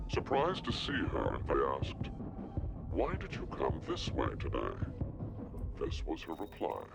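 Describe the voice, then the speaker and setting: Very deep, gravelly game mastermind. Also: male voice, full character voice, poor audio. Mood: ominous storytelling. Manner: measured, deliberate, ominous storytelling. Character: sinister masked mastermind running a death game, calm, theatrical. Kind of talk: video game villain monologue.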